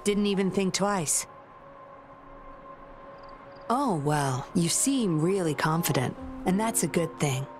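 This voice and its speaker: A woman's voice: lowish voice, animated, slow pace, long pauses, music in the background. A woman playing a cool, self-assured undercover investigator in a game, composed, knowing and a little teasing. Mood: composed, sly.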